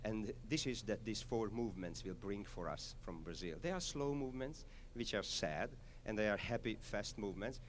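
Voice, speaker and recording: A man from Hungary with a Hungarian accent, a conductor introducing a piece to a concert audience, halting but enthusiastic with frequent fillers. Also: fairly low pitch, animated, slight background noise. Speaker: orchestra conductor.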